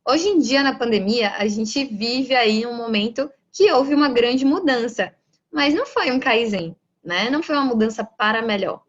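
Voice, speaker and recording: A woman's voice: fairly high pitch, expressive, moderate pace. Bahian woman giving a lecture, lo-fi. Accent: Bahian Brazilian Portuguese. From Bahia, Brazil. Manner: explanatory, frequent rhetorical "né?" tags. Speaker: lecturer on Lean management. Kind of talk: online lecture. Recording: dull lo-fi audio.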